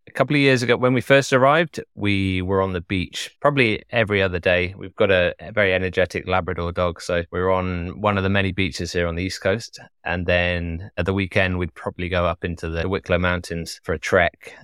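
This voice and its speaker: A middle-aged man from Wales, chatting casually as a podcast guest, relaxed and quick to laugh. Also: low-pitched, clean tone, no echo, studio quality. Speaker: podcast guest, web developer. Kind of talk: casual interview chat.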